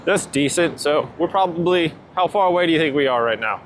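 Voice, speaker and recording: A man's voice: fairly high pitch, lively intonation, fairly quick, a little hiss or hum. A man giving a casual, unscripted product review outdoors, talking in a loose, informal way and often checking in with questions. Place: outdoors near water, walking.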